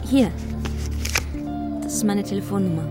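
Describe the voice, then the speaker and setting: A woman's voice: medium pitch, moderate pace, music in the background, natural voice. A woman playing a flirty, hesitant flight attendant. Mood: coaxing.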